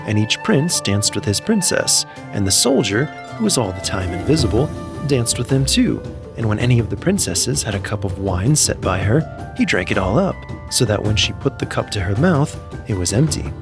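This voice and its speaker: A man's voice: on the low side, big pitch swings, normal speed, hardly any pauses. A man narrating a scripted fairy tale as a storyteller, reading in full literary sentences. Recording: music behind the voice. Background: background music.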